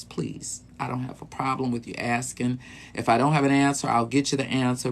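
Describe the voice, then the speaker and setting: A man in his late fifties talks casually to his live-stream viewers from a small closet. He hosts as a sexologist and rambles along, pausing on fillers and restarting sentences. His pitch sits in an ordinary middle range for a man. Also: fairly bright tone. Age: 50s. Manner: easygoing and rambling, with many ums and restarts.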